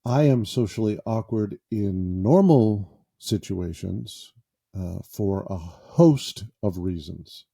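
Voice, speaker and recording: Brief: male podcast host, self-deprecating and jokey, unhurried delivery, very dark tone. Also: on the low side, gravelly, breathy edge. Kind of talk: improvised casual podcast monologue. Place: small closet padded with sound foam.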